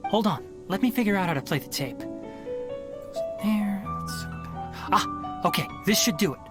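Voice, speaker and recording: A woman voicing a knowledgeable young male scholar in a video game, composed and measured as he explains things matter-of-factly. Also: mid-range voice, lively intonation, loud music behind the voice, close to an everyday voice. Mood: focused. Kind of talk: scripted video game voice acting.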